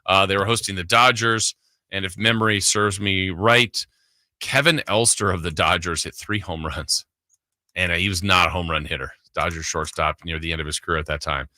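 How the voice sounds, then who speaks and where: Male sports talk host, casual and emphatic, punchy sharp tone, slightly low register. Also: fairly even intonation, moderate pace, dry recording, studio quality.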